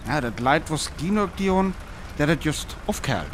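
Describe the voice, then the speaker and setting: A man playing a thoughtful professor who explains things in a measured way in a radio play. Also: a little high, very animated, slight background noise. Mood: pondering, serious. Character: thoughtful male scientist, a professor examining a strange object.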